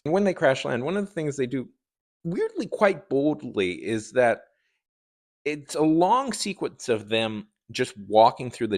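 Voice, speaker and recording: Guy doing a chatty review, voice goes up and down loads, kinda wry and opinionated. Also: on the low side. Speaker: video reviewer. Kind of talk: conversational review commentary to viewers.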